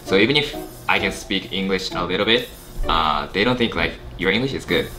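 Young man, Japanese accent, very low voice, upbeat and chatty, music playing behind. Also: lively intonation, fairly quick, bright, forceful tone, rough recording. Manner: upbeat, laughs often, many fillers. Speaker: co-host. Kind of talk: casual chat. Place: indoor room on a couch.

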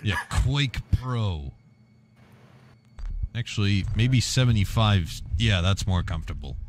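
A man's voice: on the low side, animated, slow. A man opening a gaming stream and chatting casually with friends in a dry joking way. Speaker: co-host. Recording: noisy game stream audio.

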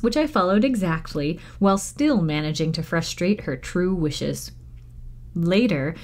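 Low-voiced woman reading a children's story. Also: a little mellow. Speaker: library storytime reader. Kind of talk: expressive children's audiobook-style narration.

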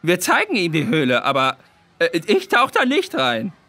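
An easygoing cartoonish puffin for a children's audio play. The voice sits high and bright, leaping around in pitch. Sentences come out clipped and blunt. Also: male voice, huge pitch swings, moderate pace, exaggerated voice. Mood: blunt, matter-of-fact. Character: chatty, easygoing male puffin bird named Björn.

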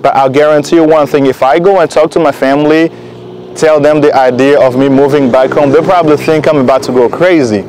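A man's voice: fairly high pitch, fairly even intonation, brisk, a little mellow, slight background noise, poor audio. A young man from Congo Kinshasa talking in a casual vlog, earnest and encouraging, with loose rambling sentences. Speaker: vlogger. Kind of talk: casual motivational vlog talk.

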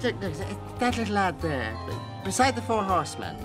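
A man's voice: high-pitched, big pitch swings, moderate pace. A man voicing a genial, fussy giant rabbit pooka, an easily impressed sidekick in a comic audio drama. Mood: eager, helpful. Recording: music bed behind voice.

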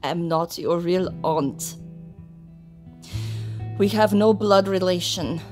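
A woman playing a solemn old servant of a vampire king, speaking in a formal, measured storytelling manner in a gothic audio drama. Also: fairly low pitch, darkish tone, faint music behind. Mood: solemn, wistful.

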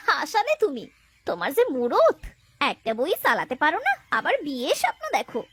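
A woman voicing a nagging, sharp-tongued village wife in a cartoon, scolding and sarcastic. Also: sits high, wildly swooping pitch, unhurried, no echo, exaggerated voice. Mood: mocking. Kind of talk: Bengali cartoon voice acting.

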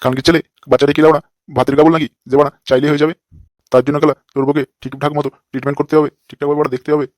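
A man's voice: on the high side, flat delivery, very fast, darkish tone, no echo, close to an everyday voice. A man voicing a crude, lecherous fake holy man in a comic cartoon, with a brash, scolding manner.

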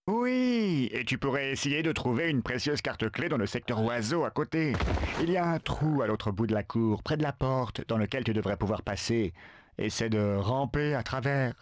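A man's voice: fairly high pitch, a little hiss or hum, natural voice. A man voicing a helpful male zoo animal who gives eager, chatty tips, in a video game dub.

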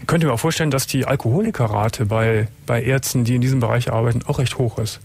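Middle-aged guy hosting late night radio, kinda raspy and gravelly, calm and asks a lot. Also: medium pitch, fast. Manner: calm, probing questions with frequent murmured agreement. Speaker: radio talk show host. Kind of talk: late-night call-in talk. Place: radio studio. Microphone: studio mic with headphones.

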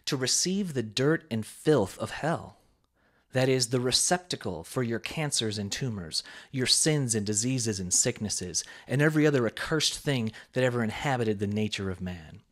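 Man preaching a sermon in measured tones. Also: medium pitch, animated, fairly bright tone. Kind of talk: reading a sermon aloud.

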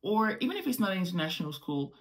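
Animated woman chatting to camera. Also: lowish voice, fast. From Morocco. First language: French. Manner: animated, gestures with hands while explaining. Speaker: travel vlogger. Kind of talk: casual talk to camera. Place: small home room indoors.

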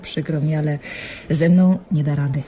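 Actress as a low-voiced young chess prodigy. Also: female voice, steady tone, normal speed, mellow, a little hiss or hum, close to an everyday voice. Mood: cool confidence. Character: young, sly, self-assured female chess prodigy. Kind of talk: radio drama acting.

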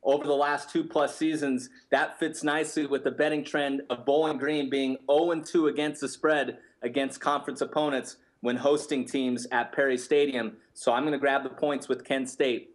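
30s guy, betting analyst, lapel mic in studio, steady and sure of his picks. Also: medium pitch, moderate pace, few pauses. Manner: confident, steady, stat-heavy. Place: studio set, indoors.

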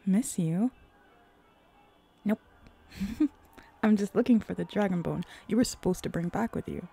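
A woman voicing a flirty, sly female hellhound in a roleplay ASMR audio drama, teasing and seductive with breathy, whispery turns. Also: measured pace, dark tone, faint music behind. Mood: playful. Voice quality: low, husky, creaky.

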